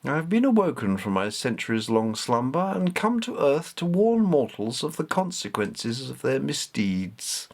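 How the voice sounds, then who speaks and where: A man's voice: on the high side, pitched above the performer's own voice. A man voicing an ancient female cat goddess who speaks in a formal, commanding way.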